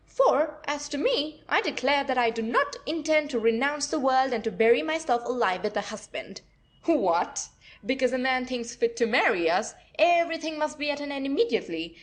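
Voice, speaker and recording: A haughty young wife, played by a woman in an old stage comedy audio play. Her high voice swoops with animated, theatrical inflection, flippant and defiant.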